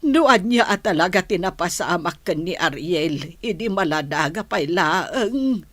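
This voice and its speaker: Slow, husky troubled Filipino grandmother. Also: lowish voice, expressive, dry recording. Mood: troubled, confessional. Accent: Ilocano. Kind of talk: radio drama acting.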